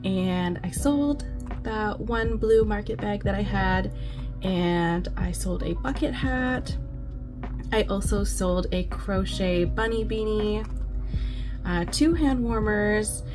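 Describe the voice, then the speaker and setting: A young woman vlogging casually and upbeat about her craft sales, with lots of ums. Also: medium pitch. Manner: chatty, upbeat, many ums. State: losing her voice from a cold. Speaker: crochet vlogger. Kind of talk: casual vlog chat. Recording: clear background music.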